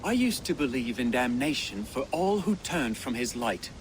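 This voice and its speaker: A man playing a devout, peaceable warrior in a game drama. He speaks earnestly and with measure at a middle pitch, over a faint background rumble. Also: big pitch swings, normal speed, close to an everyday voice. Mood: earnest, serene. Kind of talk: dramatic video game acting.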